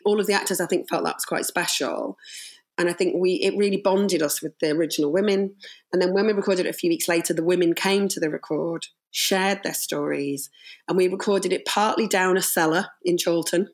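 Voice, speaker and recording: A woman with a slightly croaky voice, telling stories about making radio drama in a relaxed podcast chat. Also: fairly low pitch, fairly quick. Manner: warm storytelling, emotional at moments. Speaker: radio drama producer and director. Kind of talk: casual podcast conversation.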